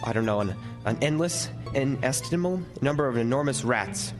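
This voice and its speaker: A man's voice: mid-range voice, lively intonation, a little fast, lightly acted. An actor playing a haunted lighthouse keeper, telling his horror tale with suspenseful, emphatic radio-drama delivery. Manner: breathless, suspenseful, emphatic repetition. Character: haunted male lighthouse keeper recounting his terrifying ordeal. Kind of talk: dramatic first-person narration, old-time radio horror. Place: college stage before a live audience. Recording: live stage radio play, loud music.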